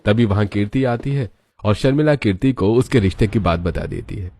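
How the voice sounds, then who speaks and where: Low, mellow male story narrator. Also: a little fast. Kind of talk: scripted cartoon story narration.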